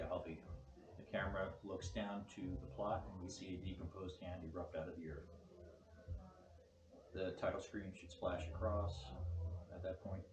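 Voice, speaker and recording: A man leading a script table read, talking casually through a project and reading screen directions aloud. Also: lowish voice. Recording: echoey room, distant mic, loud noise.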